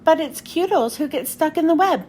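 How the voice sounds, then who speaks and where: A woman's voice: high-pitched, expressive, normal speed, darkish tone. A woman reading a children's storybook aloud as narrator, expressively, as if to young children. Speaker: children's book reader. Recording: faint background noise.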